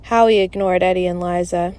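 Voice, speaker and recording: A woman narrating a children's chapter book in an expressive, scripted read-aloud style. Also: lowish voice, brisk.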